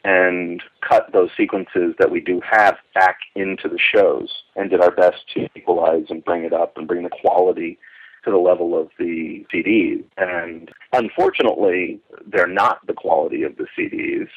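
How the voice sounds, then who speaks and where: A man's voice: deep voice, moderate pace, breathy edge. A man giving an informal, rambling explanation as a commentator, often rephrasing himself, on a lo-fi recording. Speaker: commentator on radio drama history. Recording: dull, lo-fi voice recording.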